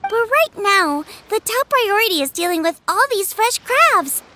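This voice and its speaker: Perky, very high girl chef. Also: sing-song, unhurried, very noisy, heavily acted. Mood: chipper. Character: perky, busy, practical teenage girl chef. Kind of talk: animated video game voice acting.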